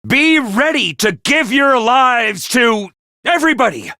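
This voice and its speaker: A shrill, manic little game mascot. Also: male voice, sing-song, measured pace, bright, forceful tone, no room sound, clean studio sound, heavily acted. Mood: excited urgency. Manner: hyper, theatrical, gleeful and taunting. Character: manic, mischievous small male academy mascot commander. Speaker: game character voice lines. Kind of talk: game voice acting.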